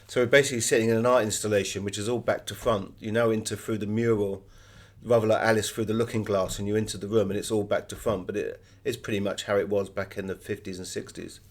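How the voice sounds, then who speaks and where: A man's voice: lowish voice, steady tone, fast talker. A middle-aged British man being interviewed as an author, telling anecdotes in a conversational way.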